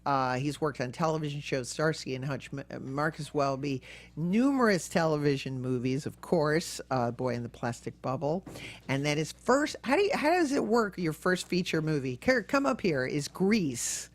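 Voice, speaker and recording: A woman hosting a casual interview podcast, chatty and excitable, often jumping from one thought to the next. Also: sits low, moderate pace. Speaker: podcast host.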